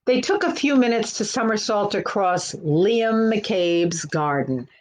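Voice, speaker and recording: A woman narrates a children's picture book at a very slow, patient pace. Her pitch sits in the middle range, and she pauses to point out pictures as a librarian would at storytime. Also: expressive, a little hiss or hum. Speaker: children's librarian reading aloud.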